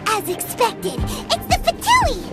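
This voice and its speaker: A woman's voice: wildly swooping pitch, takes it slowly, exaggerated voice. A woman voicing a tiny, cute, naive childlike fairy companion in a video game. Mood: nervous. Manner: squeaky, refers to herself by name. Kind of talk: scripted video game voice acting. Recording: loud game music behind the voice.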